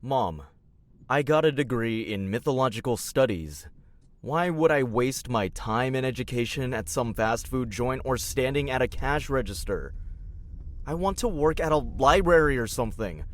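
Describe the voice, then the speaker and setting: A man's voice: medium pitch, animated, normal speed, natural voice. A young man playing a sarcastic, resentful grown son in an audio drama. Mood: frustrated, defensive. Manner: sarcastic, sullen.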